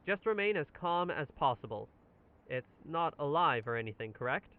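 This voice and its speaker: Male dispatcher, high voice, animated intonation, reassuring, procedural, audio drama. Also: normal speed, narrow, tinny audio. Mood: calm, reassuring. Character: calm, helpful male police dispatcher. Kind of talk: naturalistic audio drama acting.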